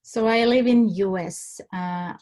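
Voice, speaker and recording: A woman from Finland answering survey questions, hesitant and pausing often, with many fillers and restarts. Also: mid-range voice, a little mellow. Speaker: survey respondent.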